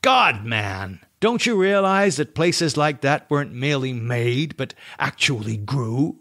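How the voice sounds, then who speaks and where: A man's voice: mid-range voice, lively intonation, a little slow, fairly bright tone, no echo, clean studio sound. A man voicing a fervent, scornful painter obsessed with the macabre, declaiming with contempt in an audiobook horror story. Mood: impassioned.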